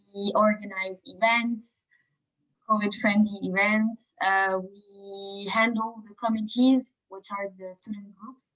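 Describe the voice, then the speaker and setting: A woman's voice: mid-range voice, a bit bright. A young woman from Morocco, a student representative, chatting casually with fillers in a webinar over a video call. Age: young adult. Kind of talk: webinar chat. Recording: dull lo-fi call audio.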